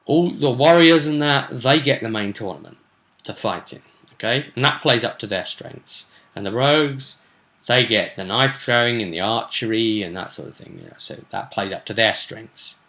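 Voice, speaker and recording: Man acting out a disgruntled, bright-voiced mage competitor. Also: mid-range voice, moderate pace. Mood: indignant. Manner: complaining, argumentative. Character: disgruntled male mage player grumbling about tournament.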